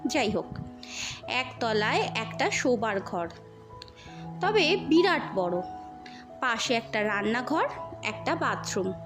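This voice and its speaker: A woman's voice: fairly high pitch, sing-song, slow, pauses often. A woman narrating a scripted story in a dramatic storytelling style. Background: music under the voice.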